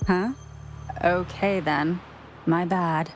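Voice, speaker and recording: A woman's voice: on the low side, fairly even intonation, drawn-out words. An actress playing a brusque, streetwise exile woman in a video game, guarded but easygoing, with a casual, curt delivery. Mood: casual, relenting. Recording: music playing behind the voice.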